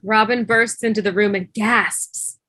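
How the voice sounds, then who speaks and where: A woman's voice: medium pitch, fairly even intonation, a little slow, pushed and bright, dry recording. A woman reading scripted stage directions as narration on a video call. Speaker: stage-direction reader in a table read.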